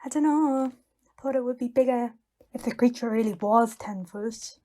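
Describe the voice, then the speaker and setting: An actress playing an eager but doubtful tavern listener, questioning a tall tale in an audio play. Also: female voice, on the high side, normal speed, dark tone, close to an everyday voice. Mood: sceptical. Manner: questioning, sceptical.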